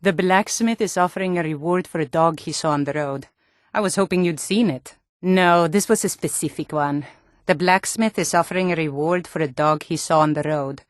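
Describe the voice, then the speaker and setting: A woman's voice: low-pitched, normal speed, no echo, lightly acted. Actress as a hardy, blunt Nordic townswoman, dry sound. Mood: matter-of-fact, wary. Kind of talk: video game character voice acting.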